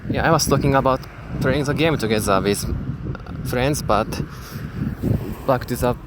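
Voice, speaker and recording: A young man with a Japanese accent speaks English slowly and haltingly, pausing on fillers as he works through his thoughts. His voice sits at a middle pitch, and heavy wind roars across the microphone outdoors, with a boat engine droning behind him. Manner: hesitant, many fillers and restarts. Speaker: gamer. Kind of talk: casual spoken commentary. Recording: loud wind noise on the mic.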